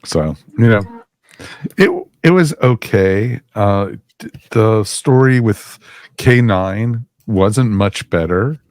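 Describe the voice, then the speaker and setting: A man's voice: lowish voice, animated, slow pace, a little mellow, no room sound, studio quality. A man talking casually as a panelist in a podcast review discussion. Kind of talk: casual chat reviewing audio dramas.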